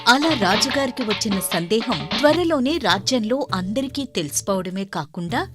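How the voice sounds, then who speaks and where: A woman narrating a children's moral story in an expressive, scripted storytelling style. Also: on the high side, moderate pace, music in the background.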